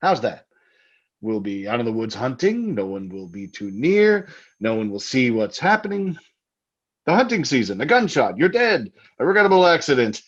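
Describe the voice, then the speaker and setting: Man playing breezy, menacing husband, swooping pitch. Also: sing-song, moderate pace, muffled recording. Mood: taunting. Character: jovially menacing husband, keen hunter, teasing with murder talk. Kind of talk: old-time radio drama acting.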